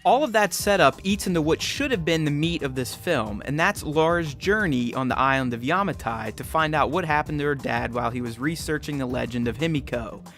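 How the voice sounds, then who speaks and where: A man's voice: on the high side, lively intonation, a little fast, hardly any pauses, a bit bright. A man hosting a film review, reading scripted narration. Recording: music bed behind voice. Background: background music.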